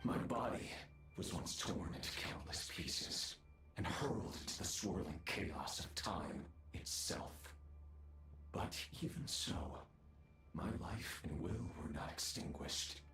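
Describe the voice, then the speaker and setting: An actor playing a menacing undying villain in a video game, speaking in a grandiose, theatrical manner. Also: male voice, a bass voice, animated, takes it slowly, fairly bright tone, reverberant, faint music behind, full character voice. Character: menacing undying male villain, torn apart and reborn.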